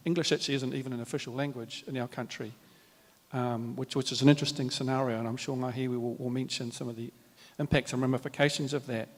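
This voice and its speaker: A man with a New Zealand accent, speaking at a live panel in a measured, reflective way with many fillers and restarts. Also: mid-range voice, fairly even intonation. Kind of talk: formal panel talk with Māori greetings. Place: large hall at a live event. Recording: reverberant hall.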